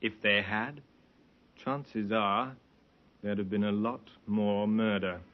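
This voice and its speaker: An actor playing a matter-of-fact police sergeant in a radio drama, clipped and factual. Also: male voice, fairly low pitch, steady tone, takes it slowly, noisy background. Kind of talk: 1950s radio drama acting. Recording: muffled 1950s radio recording.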